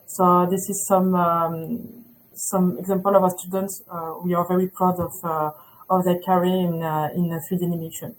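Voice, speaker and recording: A woman presenting a school in an online webinar, speaking with many pauses and fillers. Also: fairly low pitch, flat delivery, slight background noise, poor audio. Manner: hesitant, many fillers, non-native English. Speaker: school representative.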